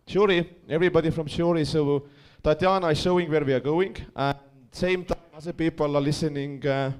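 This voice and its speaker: A man's voice: on the high side, darkish tone. A man hosting a live event, introducing the next speaker and sending the jury off with chatty, joking patter. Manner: chatty, jokes with the crowd, loose phrasing. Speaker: event host.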